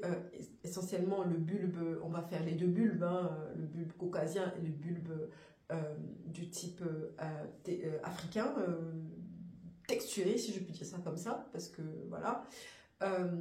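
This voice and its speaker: A woman with a hoarse voice from a cold, presenting an afro hair care course in a halting, thinking-aloud, earnest way. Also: lowish voice, warm tone, roomy sound. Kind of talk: informal spoken course presentation.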